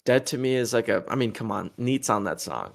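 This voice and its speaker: A man's voice: mid-range voice, lively intonation, fairly quick, vocal fry. A man in his twenties, a musician answering an interview in a rambling, heated way, full of fillers and crude insults. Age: 20s.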